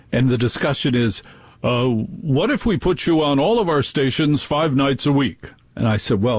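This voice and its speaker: Gravelly, raspy radio talk host. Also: male voice, medium pitch, a little mellow, no echo. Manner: ranting, mocks others in put-on voices. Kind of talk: rambling radio monologue.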